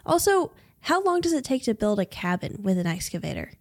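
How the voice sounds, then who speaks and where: A woman's voice: mid-range voice, very animated, brisk. A woman co-hosting a casual podcast chat who asks lots of questions and laughs often. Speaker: podcast co-host.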